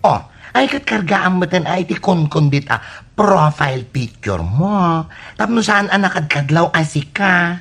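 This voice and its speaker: Woman playing scheming female confidante, Ilocano comedy drama, husky, unhurried, coaxing, exclamatory. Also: on the low side, animated, soft background music. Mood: instructing. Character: meddling female friend hatching a scheme.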